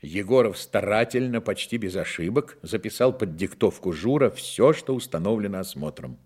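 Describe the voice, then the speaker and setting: A man narrating a radio drama in an expressive literary storytelling style, with a husky voice. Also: on the low side, normal speed.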